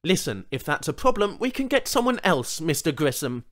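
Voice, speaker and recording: A man's voice: on the high side, big pitch swings, normal speed, a bit bright, voice pushed up. A man voicing an impatient, brusque corporate executive in an audiobook story. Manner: brusque, absent, imperious.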